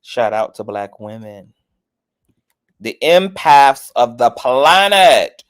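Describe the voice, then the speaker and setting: A man's voice: mid-range voice, big pitch swings, slow pace, clean tone. A man hosting a casual livestream chat, sassy and chatty, calling everyone girl and honey. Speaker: host of a commentary livestream.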